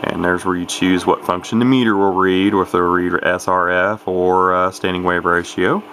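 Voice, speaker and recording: A man giving a casual, unscripted hobbyist demonstration, talking in a halting, rambling, matter-of-fact way. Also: low voice, level tone, a bit bright, a little hiss or hum. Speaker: radio hobbyist demonstrating a repaired CB radio.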